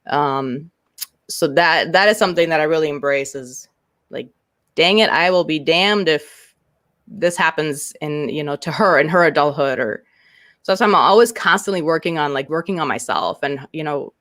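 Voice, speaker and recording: Middle-aged woman guest, frank and open, swears casually, halting with fillers, dry close sound. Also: sits low, a bit bright, no echo. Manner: frank and candid, swears freely, many fillers and restarts. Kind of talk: casual interview chat.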